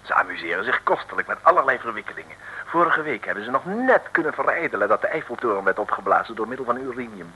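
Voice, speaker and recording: A man's voice: medium pitch, big pitch swings, fast talker, sharp tone. A man narrating an old comic radio serial in a theatrical, mock-dramatic, declamatory way. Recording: faintly noisy old radio recording.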